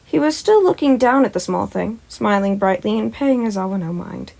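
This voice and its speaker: A woman's voice: mid-range voice, expressive, normal speed, gravelly, darkish tone, poor audio. A woman who hosts a fan-fiction audio channel, reading stories and talking to listeners. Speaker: podfic reader and channel host. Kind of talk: scripted story narration and casual asides to listeners.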